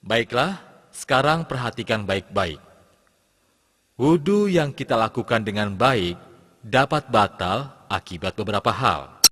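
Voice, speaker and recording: A man, an Islamic religious teacher, giving measured step-by-step instruction in a scripted lesson narration for children. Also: on the low side, animated, fairly bright tone. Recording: long hall reverb, clear background noise.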